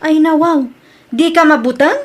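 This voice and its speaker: A woman's voice: high voice, moderate pace, close to an everyday voice. A woman playing an anxious young village daughter who pleads with worry in her voice. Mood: worried. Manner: worried, pleading. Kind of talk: audio drama acting. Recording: rain and ambience noise behind. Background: steady rain.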